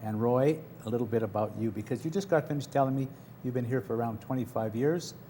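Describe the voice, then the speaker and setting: A man hosts a local TV interview show, chatty and rambling, often circling back on his own questions. His voice is mellow and warm at a middle pitch. There is faint studio ambience behind him. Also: fast, slight background noise. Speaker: TV talk show host.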